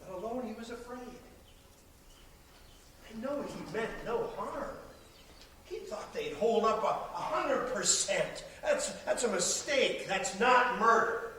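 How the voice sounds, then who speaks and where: A man on stage playing an older father, blustering and folksy, with emphatic, halting speech. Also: extremely high pitch, expressive, sharp tone, full character voice. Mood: pleading. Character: blustering, pleading, jovial older father, defensive businessman. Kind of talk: projected stage play acting. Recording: echoey stage hall, distant camera, noisy.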